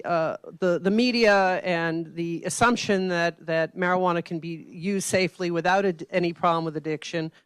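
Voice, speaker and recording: A woman hosting a grand rounds lecture, making announcements and thanks in a halting way, full of fillers. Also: on the low side, smooth, dry recording. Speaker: host of the grand rounds, division director. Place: lecture hall.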